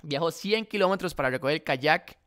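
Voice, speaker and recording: A young man with a Costa Rican accent telling a story to camera in a casual vlog, animated and acting out both sides of the dialogue, close to a desk microphone in a room by a window. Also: a little high, brisk, clear, resonant tone. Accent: Costa Rican Spanish. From Costa Rica. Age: young adult. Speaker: dropshipping vlogger. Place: indoor room by a large window. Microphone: desk condenser mic in front of face.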